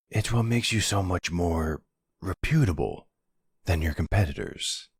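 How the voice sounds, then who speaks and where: A flirty, sardonic vampire played by a man in an ASMR audio drama. His voice is husky and full of gravelly fry, swinging expressively as he taunts his rival. The delivery is smug and teasing, laced with dry sarcasm. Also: low-pitched, dry recording, heavily acted. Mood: smooth, polite.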